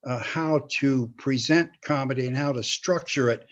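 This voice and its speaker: Older man, halting, in slightly dull audio. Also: medium pitch. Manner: halting, chuckles while talking. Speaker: guest, comedy writer and teacher. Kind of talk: casual interview chat.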